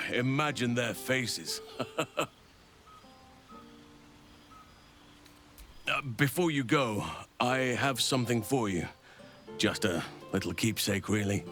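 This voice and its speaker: An actor playing a kindly old druid in a video game, speaking in a measured, reflective way with formal wording. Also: male voice, lowish voice, a bit bright. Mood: fond, pleased. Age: older. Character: gentle, kindly old druid man, wise and fond of children. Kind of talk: video game character dialogue.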